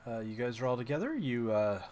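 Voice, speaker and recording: A man narrating a tabletop game as game master in a casual, halting, conversational way. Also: medium pitch, animated, darkish tone. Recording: faint background noise.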